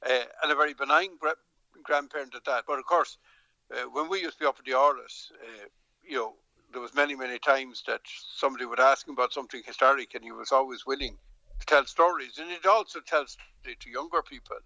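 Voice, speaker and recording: A man's voice: medium pitch, fast talker, bright, forceful tone, no echo, lo-fi sound. A middle-aged Irish man, a politician, talking in a remote interview with frequent hesitations, restarts and a storytelling manner. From Dublin, Ireland.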